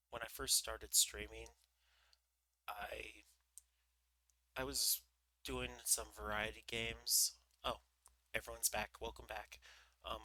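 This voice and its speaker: Slow, bright-voiced male streamer chatting. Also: low-quality recording. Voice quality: naturally higher voice than he looks. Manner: rambling, self-deprecating, many fillers. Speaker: VTuber book-reading streamer. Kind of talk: casual chat during an ad break.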